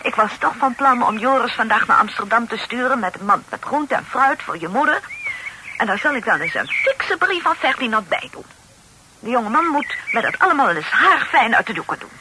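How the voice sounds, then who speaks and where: A woman's voice: fairly high pitch, very animated, a little fast. An actress playing an indignant, fretful middle-aged lady of the house in a period radio drama, often repeating her words. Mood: agitated, insistent. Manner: stammering, repeating words when agitated. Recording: noisy old radio play recording.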